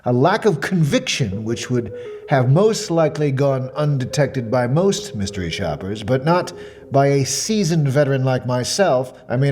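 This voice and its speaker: A man's voice: medium pitch, mellow, a little hiss or hum. Pompous, wildly swooping male mystery shopper. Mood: fussy, measured, then disdainful. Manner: pompous, precise, deliberate, dwelling on words. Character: fussy, fixated male mystery shopper, self-important and quietly menacing. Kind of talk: dramatic monologue as a spoken questionnaire report.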